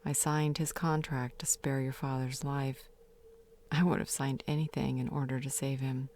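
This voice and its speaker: A woman playing a grieving, tender fae mother in an audio drama, sorrowfully confessing her regrets in halting, half-whispered speech. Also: extremely low pitch, little pitch movement, a little mellow, slight background noise. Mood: regretful, confessing. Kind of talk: dramatic audio roleplay monologue.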